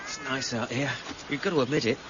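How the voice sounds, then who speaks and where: An actor playing a deferential, pragmatic police sergeant with an English accent, understated and inquiring, in a radio drama. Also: male voice, mid-range voice, fairly even intonation, a little fast, close to an everyday voice. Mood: curious. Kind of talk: 1990s British radio drama acting. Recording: muffled, noisy old radio broadcast recording.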